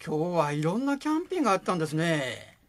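A man's voice: fairly high pitch, big pitch swings, quick pace. A man playing a bantering legal scrivener with a Kansai accent in a comic radio drama.

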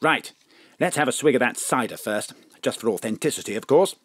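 An actor playing a sly spy chief disguised as a boozy down-and-out in an audio drama, confiding, with posh old-school phrasing. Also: male voice, mid-range voice, animated, a little fast, fairly bright tone, slightly rough, no room sound. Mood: confiding, droll.

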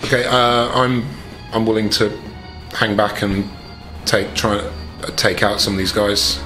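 A man's voice: on the low side, fairly even intonation, a little slow, bright, forceful tone, soft background music, poor audio. A man playing a tabletop role-playing game, casually planning moves in character.

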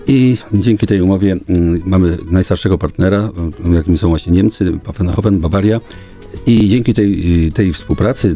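A middle-aged man with a very deep, dark voice gives formal answers in a small radio studio. He pauses often and stretches out his hesitations. Faint music plays behind him. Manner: halting, formal official speech with frequent hesitations. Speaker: local government official, guest. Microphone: studio boom microphone.

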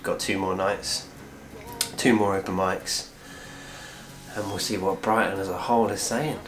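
A man's voice: sits low, steady tone, unhurried, music in the background. A man in his twenties talking casually to camera as a vlogger, in a small room. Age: 20s. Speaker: vlogger and musician. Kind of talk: casual vlog talk.

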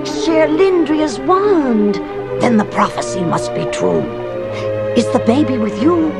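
A woman's voice: high-pitched, very animated, slow, full character voice. A woman playing an urgent, commanding sorceress trapped in animal form, speaking solemnly in a children's audio storybook. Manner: solemn, emphatic, imperative. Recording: loud music under the voice.

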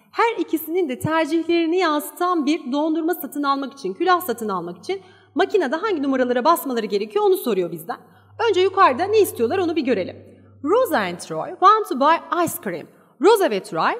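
A woman's voice: high voice, long reverb tail. A woman teacher with a Turkish accent walking through exam questions on slides, reading English sentences aloud and translating each into Turkish. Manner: reads English lines then translates them into Turkish. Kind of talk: exam-prep lesson over slides. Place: voice-over on slides.

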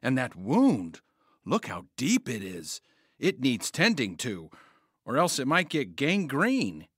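A village storyteller, swooping intonation. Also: male voice, mid-range voice, huge pitch swings, slow, fairly bright tone, husky, no room sound, studio quality, exaggerated voice. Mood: worried. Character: village doctor's assistant narrating a tale, anxious when alarmed. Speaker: narrator and actor. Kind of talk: audio drama storytelling.

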